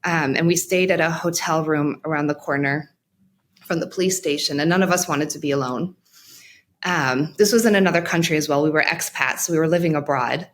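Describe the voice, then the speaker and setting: A woman guest, a mental health practitioner, telling a personal story in an interview in a reflective, halting way with frequent restarts and pauses. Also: sits low.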